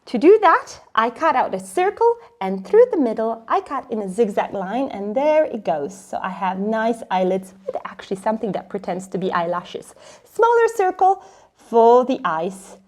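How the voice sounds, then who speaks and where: A woman presenting an informal step-by-step craft tutorial in an expressive, encouraging manner. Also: a little high, normal speed.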